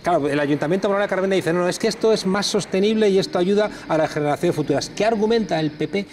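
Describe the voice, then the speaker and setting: A man's voice: high voice, rapid-fire, a little mellow, slight background noise. A middle-aged man hosting a TV studio interview, asking conversational, leading questions. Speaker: TV interview host. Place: TV studio desk.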